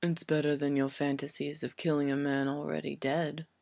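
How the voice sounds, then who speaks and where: Woman as a sardonic grown wizard, male, lowish, deadpan, sneering, muttered barbs, dry close sound, audiobook drama. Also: normal speed, vocal fry, no room sound. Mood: dry, pointed. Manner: deadpan, muttering, pointed, coldly unmoved.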